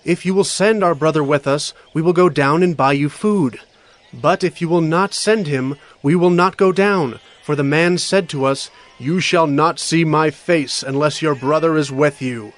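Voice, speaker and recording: A man's voice: fairly high pitch, moderate pace, natural voice. A man voicing an earnest adult son who pleads with his father and pledges himself. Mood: urgent.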